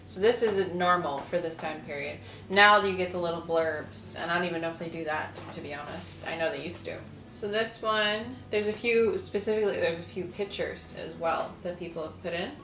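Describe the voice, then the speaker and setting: Low-voiced woman in an echoey room. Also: fairly quick, a bit bright, a little hiss or hum. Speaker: host of a lifestyle video channel. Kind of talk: casual chat with reading aloud from an old newspaper. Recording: roomy echo, faint music underneath.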